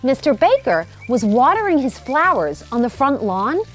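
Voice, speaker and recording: A woman narrates a children's story in a fairly high, very animated voice. Her delivery is expressive scripted storytelling, with light music underneath. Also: normal speed.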